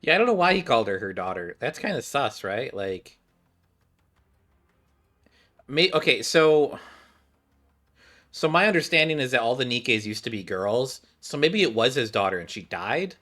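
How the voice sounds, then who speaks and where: Halting man commenting casually on a game. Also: medium pitch, very animated, sharp tone. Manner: halting, thinking aloud, repeats himself. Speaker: streamer playing a game.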